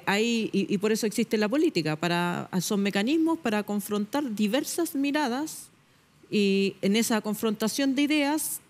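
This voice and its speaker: A middle-aged woman journalist arguing her point on a television panel debate, emphatic and pausing often with fillers, recorded on a lapel mic in a studio. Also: medium pitch. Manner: emphatic, searching for words with many fillers.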